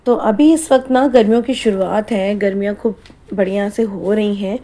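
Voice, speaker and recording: A woman's voice: mid-range voice, steady tone, moderate pace, warm tone. A woman narrating a family vlog in a chatty, casual way, slipping English phrases into her Hindi. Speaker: vlogger.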